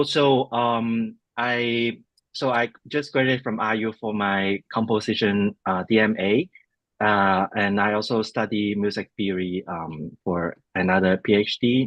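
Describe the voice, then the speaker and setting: A fairly low-pitched man with a Hong Kong accent talks in a casual, polite, halting manner. His voice comes through dry and slightly muffled, with a lo-fi sound. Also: steady tone, a bit bright, no echo. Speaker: composer and music theory teacher. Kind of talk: casual introduction and question-and-answer.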